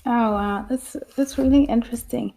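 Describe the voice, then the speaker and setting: Gravelly-voiced woman host. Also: a little high, moderate pace, warm tone. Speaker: podcast host. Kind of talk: casual interview chat.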